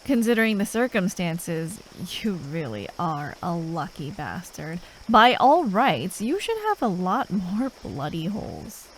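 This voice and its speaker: Sardonic female fantasy assassin woman actor, gruff and unhurried, addressing the listener in ASMR roleplay, faint cricket ambience behind. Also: on the low side, big pitch swings, slight background noise, poor audio. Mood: wry, reflective. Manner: sarcastic, drawling, sweary, with sighs.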